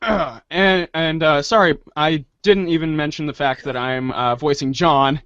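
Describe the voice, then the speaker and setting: A man hosting a show in a casual, halting way. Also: a little high, steady tone, fairly bright tone, no echo, dull audio. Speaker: host.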